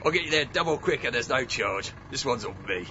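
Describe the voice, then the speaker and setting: A man playing a brash, excitable taxi driver in a radio comedy. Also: medium pitch, a little fast, lightly acted. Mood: chirpy. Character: chirpy taxi driver who turns savagely abusive. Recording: muffled, with clear traffic noise.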